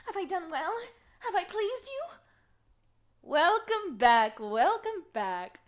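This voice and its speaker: A woman's voice: very high-pitched, very animated, takes it slowly, exaggerated voice. A woman voicing a deranged, sadistic female assassin devoted to her queen in an animation fandub, with a menacing, sing-song delivery. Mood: frantic, fawning. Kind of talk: dramatic animation fandub acting.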